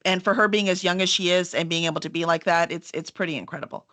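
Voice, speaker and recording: A woman with a bright, crisp-edged voice hosts an online book chat. She speaks at a middling pitch in an effusive, encouraging way, like a publisher championing an author in live conversation. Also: steady tone, fast.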